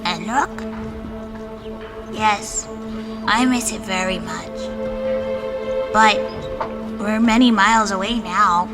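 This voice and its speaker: A calm reptilian kobold scout leader from a fantasy audio drama. She speaks slowly and obligingly at a middling pitch, over loud music. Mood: wistful. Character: calm, reasonable female kobold unit leader. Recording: loud music bed under voice.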